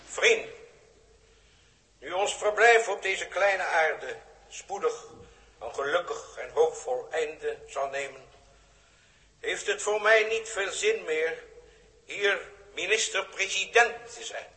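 Slow, solemn world prime minister. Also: male voice, high-pitched, sharp tone, heavily acted, rough recording. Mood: solemn, grateful. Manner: solemn, declamatory. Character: grave, grandiloquent prime minister of Earth. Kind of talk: 1960s Dutch radio drama oratory.

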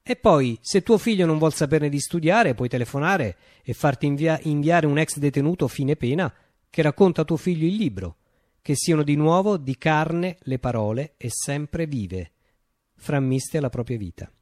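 An actor playing a visionary man recounting his utopian revolution in a declamatory, deliberate radio monologue. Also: on the high side, expressive, darkish tone, no room sound, clean studio sound, natural voice. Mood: fervent, visionary. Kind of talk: theatrical radio monologue, dramatic storytelling.

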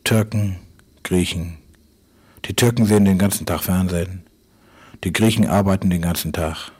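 A man delivering a literary radio monologue in terse, halting fragments. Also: sits low, darkish tone, slightly rough. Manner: terse, halting short phrases, sometimes dropping to a whisper.

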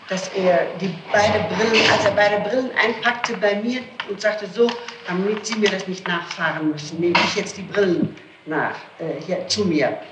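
A woman being questioned as a suspect, answering defensively and insistently and repeating herself. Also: fairly low pitch. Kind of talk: police interrogation answers. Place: echoey room, archival interrogation tape. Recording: noisy, roomy archival 1960s tape recording.

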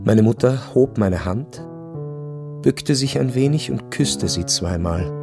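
A man returned from war, narrating a literary radio drama. His delivery is somber, reflective and measured, in short deliberate phrases. Soft music plays faintly behind him. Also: mid-range voice, lively intonation, gravelly. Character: returned soldier son, somber first-person narrator.

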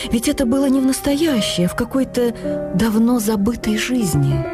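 A woman's voice: a little high, normal speed, over music, voice pushed up, lightly acted. A woman voicing a wistful, wry, playful middle-aged male writer in an audio drama. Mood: dreamy, playful. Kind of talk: audio drama memoir reading.

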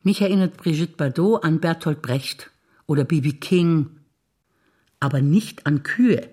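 An actress playing a wistful, playful woman recalling shared memories in a theatrical duologue, with deliberate, chant-like repetition. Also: low-pitched, mellow, breathy edge. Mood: playful, teasing. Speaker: stage actor. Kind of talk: theatrical spoken monologue of memories.

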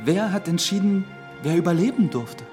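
An actor playing an earnest, defiant priest in a German radio drama, with a solemn rhetorical delivery. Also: male voice, sits high, expressive, normal speed, mellow. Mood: urgent. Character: earnest young priest, defiant preacher of forbidden truth. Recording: studio drama with prominent music behind.

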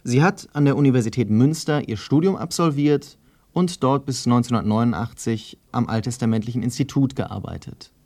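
A man's voice: medium pitch, brisk, a little mellow. A man presenting a radio interview, reading scripted introductions and questions in a formal, factual manner.

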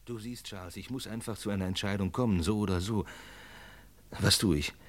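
An actor playing an agitated, indecisive ordinary man in a vintage German radio crime play, speaking haltingly. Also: lowish voice, lightly acted. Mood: anguished. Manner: hesitant, anguished, halting.

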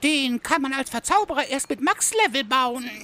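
An actor playing a whiny, shifty guild member with a shrill voice in a comedy audio drama, slightly noisy recording. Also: expressive, moderate pace, bright, forceful tone. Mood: evasive.

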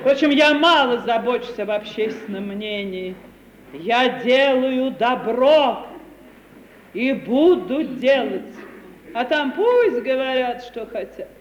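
An actress playing a sanctimonious, self-pitying middle-aged widowed landowner in an affected, theatrical radio comedy manner. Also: female voice, a little high, animated, highs cut off. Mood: lofty. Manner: theatrical, affected, drawn-out self-justifying speech. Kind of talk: 1950 Russian stage-comedy radio acting. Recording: echoey hall, noisy 1950 radio recording.

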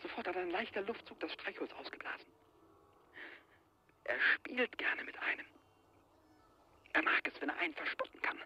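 A man's voice: sits high, expressive, moderate pace, pauses often, breathy edge, heavily acted. An actor in a radio play, playing a frightened, obsessed travel writer who believes he is being hunted, with an agitated, dramatic delivery. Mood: hushed fear. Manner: agitated, dramatic, swinging from hushed dread to frantic outbursts. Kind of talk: 1980s German radio play acting.

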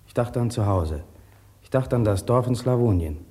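A farmer's son remembers his lost village in a radio play. He narrates soberly and reflectively in a fairly low voice. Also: animated, moderate pace, warm tone. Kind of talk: first-person radio drama narration.

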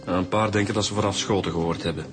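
A man's voice: sits low, fairly even intonation, fast talker, over music, close to an everyday voice. An actor playing a matter-of-fact detective reporting to his boss in a radio drama, factual and orderly, with a Flemish accent. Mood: businesslike.

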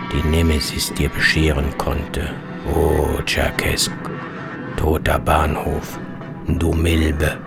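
A man reading a scripted literary radio travelogue as its narrator, in terse, deliberate fragments. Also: very deep voice, vocal fry. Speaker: author narrating his own journey. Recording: music bed under the voice. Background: music.

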